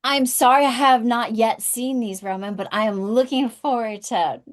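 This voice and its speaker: A woman's voice: a little high, moderate pace. A woman talking casually as a producer guest in an interview. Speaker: podcast guest, table read producer. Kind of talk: casual chat.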